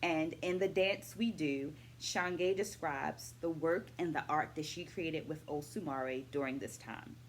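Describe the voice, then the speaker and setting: A middle-aged woman talking through a book for her viewers in a measured, deliberate, instructive way. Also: sits low, slight background noise. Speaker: book-review vlog host, dance educator. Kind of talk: educational book discussion to camera.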